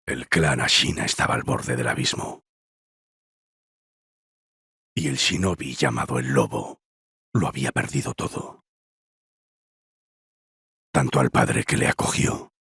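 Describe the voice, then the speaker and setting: A solemn storyteller of feudal war in a dramatic game dub. The voice is extremely low and full of gravel, with a forceful edge over music. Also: male voice, measured pace, pauses often, no echo, clean studio sound, exaggerated voice. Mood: grave, ominous. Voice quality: very gravelly, husky. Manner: solemn, portentous. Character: grave male narrator of a feudal war legend. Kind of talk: dramatic scripted game narration. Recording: music under the voice.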